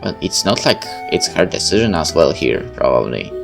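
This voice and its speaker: A man's voice: very deep voice, very animated, moderate pace, vocal fry, faint music behind. A man reading a story game aloud and commenting on it as he plays. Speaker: gamer reading a visual novel aloud and commenting. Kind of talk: dramatic read-aloud of first-person fiction with casual asides.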